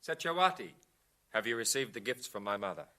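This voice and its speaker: An actor playing an earnest young village farmer and devoted fiance in a radio drama. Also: male voice, fairly low pitch, sing-song, a little fast, pushed and bright.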